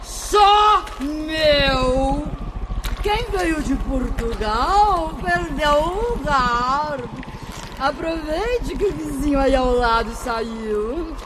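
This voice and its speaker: An actress playing a cruel, gloating hag spirit in a radio drama, taunting and menacing in a theatrical way. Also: female voice, expressive, very slow, full character voice. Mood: mocking, gloating. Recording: heavy rumbling ambient noise.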